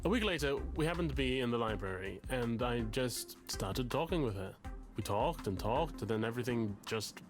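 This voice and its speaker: An actor playing an anxious, bookish, self-pitying man in a game, talking in a wordy, halting, confessional way. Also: mid-range voice, expressive, over music, lightly acted. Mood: nervous, awed. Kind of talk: confessional dramatic game dialogue.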